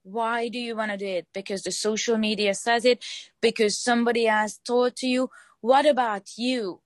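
A woman's voice: fairly high pitch, fairly even intonation, normal speed, dry recording. A woman in her late twenties from Hungary with a Hungarian accent, a fitness trainer answering interview questions earnestly, often searching for words and repeating phrases. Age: 20s.

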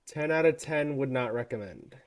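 A young man co-hosting a casual podcast, joking in a dry, deadpan way and drawing out his words. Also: medium pitch, normal speed. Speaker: podcast co-host. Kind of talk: casual chat.

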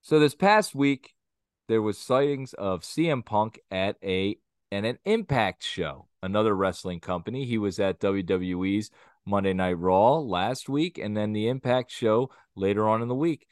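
A man's voice: lowish voice, lively intonation, a little slow, clear, resonant tone, no echo, studio quality. A man co-hosting a wrestling podcast, talking in a rambling, opinionated and blunt way, sometimes crude. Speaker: podcast co-host. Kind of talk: casual wrestling podcast chat.

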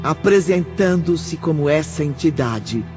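A man's voice: on the high side, expressive, measured pace. A man playing a solemn, moralising narrator of a spirit world in a radio drama, with declamatory delivery. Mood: solemn, condemning. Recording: dull lo-fi audio under loud music.

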